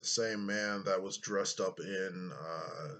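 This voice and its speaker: Deep-voiced man, slow and unhurried. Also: steady tone, vocal fry, pushed and bright, a little hiss or hum. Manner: unhurried, thoughtful, hesitant with fillers. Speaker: YouTuber. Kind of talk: casual vlog commentary. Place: small room indoors.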